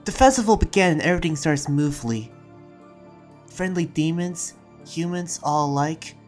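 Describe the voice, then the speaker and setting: A roleplayer voicing an earnest, troubled demon slayer, telling events in a halting, reflective recap with restarts. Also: mid-range voice, natural voice. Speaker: roleplayer and video creator. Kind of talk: in-character recap narration and improvised roleplay. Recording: music under the voice. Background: music.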